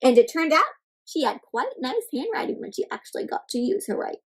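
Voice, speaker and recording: A woman reading a picture book aloud to children, interactive and expressive, stressing words and turning to young listeners with simple questions and explanations. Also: high voice, a little fast. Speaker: children's read-aloud host.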